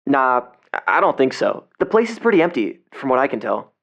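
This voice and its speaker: An actor playing a cocky, defensive seventeen-year-old amateur explorer in an audio drama, talking casually and bristling when challenged. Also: male voice, mid-range voice, big pitch swings, normal speed, gravelly, a bit bright. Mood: evasive, casual. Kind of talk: audio drama phone dialogue.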